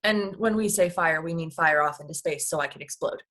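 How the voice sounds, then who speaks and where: A fairly low-pitched female space agent, earnest and protective, played by a woman reading from a comedy script. Her delivery stays conversational. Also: brisk, a bit bright. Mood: wry, touched. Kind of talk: table read of a comedy script.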